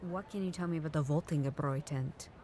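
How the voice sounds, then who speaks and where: A woman's voice: low voice, fast talker, mellow, slight background noise, close to an everyday voice. An actress playing a guarded, inquisitive young woman detective in a video game, dry and matter-of-fact. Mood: probing, dry. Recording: music behind the voice.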